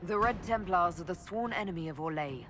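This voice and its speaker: An actress playing a firm, dry-witted leader of a fantasy army in a video game, speaking formally. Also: female voice, lowish voice, animated, fairly quick, fairly bright tone, faint music behind. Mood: stern, judging. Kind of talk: dramatic video game acting.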